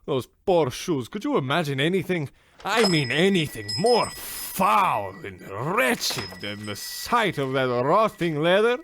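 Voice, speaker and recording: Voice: mid-range voice, very animated, a little slow, a bit bright, slight background noise. An actor playing a finicky, complaining cobbler in an old-time radio comedy style. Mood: disgusted, dramatic.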